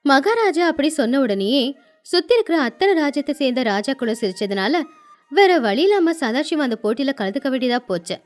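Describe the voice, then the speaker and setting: A woman narrating a moral folktale as an animated storyteller. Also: sits high.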